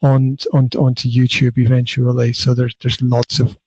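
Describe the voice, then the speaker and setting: A man's voice: medium pitch, completely flat delivery, normal speed, darkish tone, breathy edge, dry recording, muffled recording. A man from the north of Scotland, hosting a casual podcast interview over an online call, friendly and often repeating himself. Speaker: podcast host.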